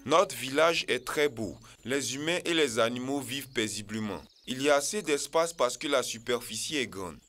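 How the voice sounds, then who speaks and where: A man voicing a jovial uncle who addresses children playfully and with drawn-out words, in a cartoon dub. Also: mid-range voice, expressive, music in the background, lightly acted. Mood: cheerful, inviting.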